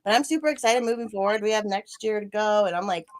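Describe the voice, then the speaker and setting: A woman's voice: medium pitch, fast talker. A woman hosting a casual livestream chat, rambling and swearing freely, laughing often. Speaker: podcast host, former city council candidate.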